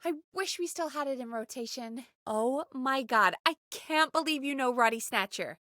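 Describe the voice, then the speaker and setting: A woman's voice: sits high, animated, moderate pace, sharp tone, no echo, studio quality. A woman playing a frank, anxious but caring radio producer in a video game, talking in an informal, emphatic, exasperated way. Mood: excited. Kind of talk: conversational video game voice acting.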